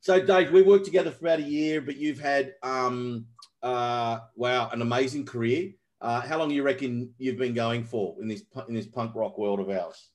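Podcast host, male, playful and excitable, jokes constantly. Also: medium pitch, moderate pace. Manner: jokey, excitable, laughs a lot. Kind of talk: casual interview.

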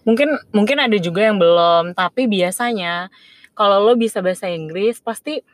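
A young woman co-hosting a casual podcast chat, in relaxed slang with English words mixed in. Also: mid-range voice, normal speed. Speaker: podcast co-host.

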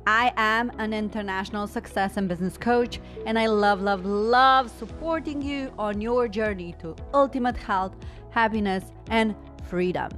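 A woman's voice: mid-range voice, moderate pace, a bit bright, soft background music. Effusive woman podcast host. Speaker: podcast host and business coach. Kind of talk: podcast intro and interview.

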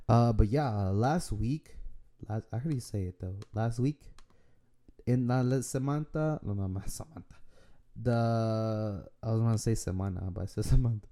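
A man hosting his own podcast in a loose, rambling solo chat, his voice raspy from allergies. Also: fairly low pitch, warm tone. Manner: rambling, loose, full of fillers and restarts. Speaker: podcast host. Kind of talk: casual solo podcast talk.

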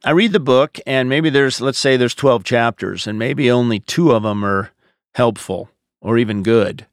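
An American man speaking as a pastor, answering questions in a measured, explanatory, conversational podcast style. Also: mid-range voice, no room sound, studio quality.

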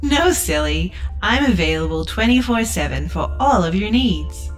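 A woman's voice: mid-range voice, lively intonation, normal speed, faint music behind, close to an everyday voice. A woman playing a relentlessly cheerful, customer-service-obsessed spaceship AI in a sci-fi audio drama, with polished, scripted politeness. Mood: bright, promotional.

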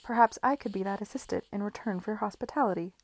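Brief: female reader doing an eager young woman, mellow tone, dry studio sound. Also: medium pitch, quick pace, dry recording, lightly acted. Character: lively capable young woman guest.

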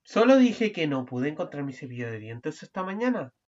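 A woman acting an exasperated, fussy husband in an amateur radio play, bickering and at times shouting. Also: deep voice, very animated, moderate pace. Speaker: student radio drama actor. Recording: muffled lo-fi recording.

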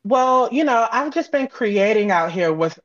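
American woman, higher-pitched, dull lo-fi sound. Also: expressive, measured pace, no room sound. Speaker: guest, audio drama creator and sound designer. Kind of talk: casual interview chat.

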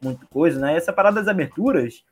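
A man's voice: fairly high pitch, fast talker, breathy edge, faint music behind. A man with a Brazilian Portuguese accent, co-hosting a casual chat and talking animatedly. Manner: animated, hums and imitates theme songs. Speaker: podcast co-host. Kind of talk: casual chat about cartoons.

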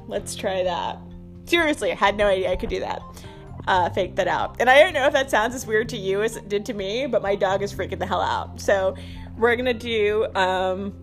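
A woman hosting a podcast in casual, stream-of-consciousness chat, with an irreverent, self-amused manner. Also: mid-range voice. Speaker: podcast host.

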